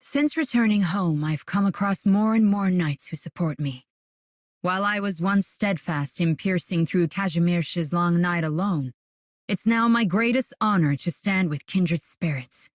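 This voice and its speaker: A woman's voice: on the low side, measured pace, no echo, studio quality, close to an everyday voice. A woman voicing a solemn, resolute young female warrior in scripted video game lines. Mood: proud, resolute.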